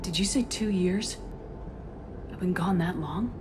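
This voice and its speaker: A woman playing a resolute, no-nonsense military commander in a sci-fi video game, direct and steady. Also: fairly low pitch, unhurried, background noise, close to an everyday voice. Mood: guarded. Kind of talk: video game cutscene acting.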